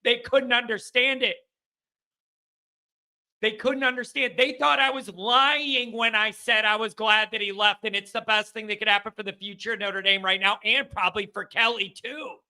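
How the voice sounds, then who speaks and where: A man hosting a call-in sports show from his basement, talking in an animated, rambling way and mocking himself now and then. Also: high-pitched, normal speed. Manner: animated, rambling, self-deprecating. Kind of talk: casual call-in chat. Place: home basement.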